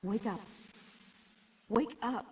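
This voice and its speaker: An actress playing a gentle, solemn young woman guiding a prince in a video game, speaking in a measured, earnest way. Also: fairly high pitch. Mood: urging, ethereal. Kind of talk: dramatic video game acting. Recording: long echoing reverb, music under the voice.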